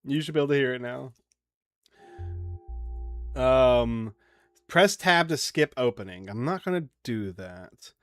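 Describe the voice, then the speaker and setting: A man hosting a gaming stream, chatting informally and haltingly as he comments and reads on-screen text aloud. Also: medium pitch, animated, fairly bright tone, no echo. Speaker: livestream gaming host.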